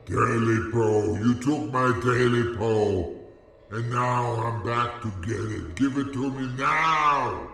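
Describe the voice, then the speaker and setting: A man voicing a short, stubby clawed creature with glowing eyes, drawing out its slow, moaning chant. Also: mid-range voice, gravelly, fairly bright tone, soft background music. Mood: eerie. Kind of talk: spooky storytelling. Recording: distant, with long echoing reverb.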